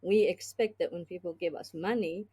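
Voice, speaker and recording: Woman, South African accent with Australian edges, low warm tone; earnest interview guest. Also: normal speed, husky. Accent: South African with some Australian. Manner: earnest, reflective, many fillers and restarts. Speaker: podcast guest, tech executive. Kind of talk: conversational interview.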